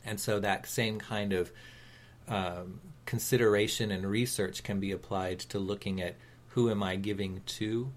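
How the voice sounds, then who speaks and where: A man co-hosting a talk radio show, speaking in an earnest, measured and encouraging, reflective way. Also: on the low side, fairly even intonation.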